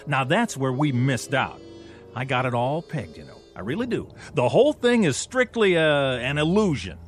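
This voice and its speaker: An actor playing a skeptical, wisecracking army soldier in a radio drama, with a sarcastic, blustering delivery. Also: male voice, mid-range voice, very animated, normal speed, soft background music, close to an everyday voice. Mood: scoffing.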